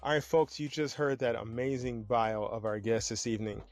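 A man's voice: mid-range voice, moderate pace, faint music behind. Upbeat man hosting a podcast. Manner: upbeat announcer-style delivery, loose and chatty in conversation. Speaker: podcast host. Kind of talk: scripted intro then casual interview chat. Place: Chicago-area podcast setup.

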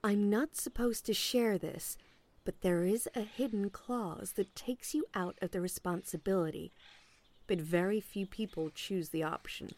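A woman's voice: mid-range voice, measured pace, darkish tone. A woman acting in an audio play as an officious agent, politely relentless and salesy, with veiled sarcasm. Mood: confidential, matter-of-fact.

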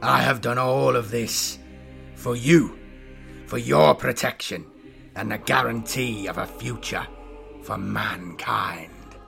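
A man voicing a scarred, corrupted older inquisitor in an audiobook, with a hissing, gravelly voice and a slow, grandiose, scornful delivery. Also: medium pitch, pushed and bright, faint music behind, heavily acted.

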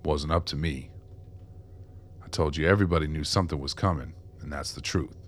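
A man's voice: rumbling low, a little fast, a little hiss or hum. An actor playing a remorseful, ragged old ex-con in a radio drama, world-weary and streetwise as he confesses. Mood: grim confession. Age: older.

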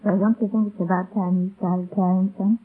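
A woman's voice: medium pitch, little pitch movement, fairly quick, breathy edge, natural voice. An actress playing a lovestruck, stubborn and anxious young woman in an earnest, emotional 1930s radio drama style. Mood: casual, wistful. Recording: muffled narrow-band vintage radio broadcast.

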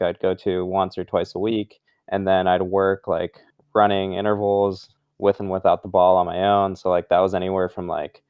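A man's voice: low voice, clean tone, dry recording, dull audio. A young man from Brazil, a guest in a casual interview, talking loosely and filling his speech with "like" and "um". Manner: relaxed, loose, leans heavily on "like" and "um".

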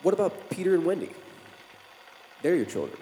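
An actor playing a composed, clinical psychiatrist with a measured, professional manner in a 1950s radio drama. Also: male voice, sits high, very animated, mellow, slight background noise, low-quality recording. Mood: calm, probing. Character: composed, clinical male psychiatrist dictating case report.

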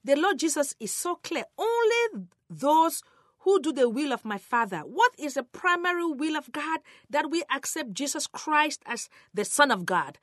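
A woman's voice: high voice, big pitch swings, moderate pace, fairly bright tone, dry recording, studio quality. A woman teaching the Bible in a sermon style, emphatic and earnest, speaking directly to her listeners. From Cameroon, West Africa.

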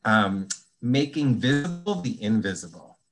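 A man's voice: mid-range voice, lively intonation, unhurried. A man talking as a podcast guest, explaining ideas in a thoughtful, conversational way with frequent restarts. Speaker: guest, anti-bias trainer.

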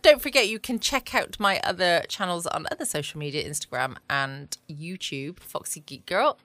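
A woman's voice: mid-range voice, pushed and bright, no echo. A woman with a southern English accent hosting a casual late-night podcast, chatty and rambling with self-joking asides. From United Kingdom. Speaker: podcast host.

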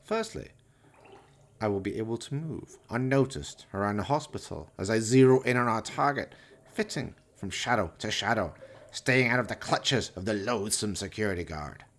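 An actor playing a vain, pompous, scheming mad scientist villain in a radio play, with florid, theatrical, grandiose delivery. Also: male voice, fairly low pitch, expressive, moderate pace, fairly bright tone. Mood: gloating, excited.

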